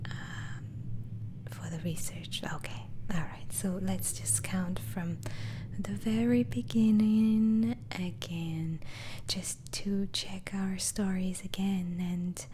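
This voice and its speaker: A woman whispers an ASMR roleplay as a doctor, taking her time with halting, reassuring phrases. Her tone is soft and mellow. A faint hiss sits behind the close recording. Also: medium pitch.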